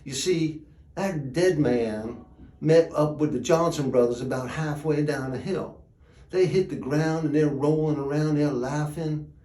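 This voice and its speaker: Man acting wry country gravedigger, slow drawl, highish male voice, theatrical storytelling, dramatic pauses. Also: dark tone, natural voice. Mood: rueful amusement. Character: folksy rural gravedigger spinning a yarn, wry and plainspoken. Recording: echoey room.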